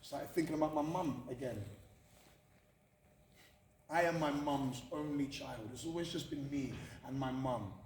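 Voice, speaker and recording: A man's voice: medium pitch, unhurried, pauses often, a little mellow. A British man giving a candid, emphatic motivational talk to students, repeating phrases for effect. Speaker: motivational speaker at a college. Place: indoor room at a college. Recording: echoey room from far off, faint noise.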